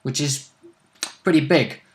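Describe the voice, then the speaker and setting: A man's voice: a little high, a little slow. A man co-hosting a casual game discussion, explaining rules in a matter-of-fact, technical way.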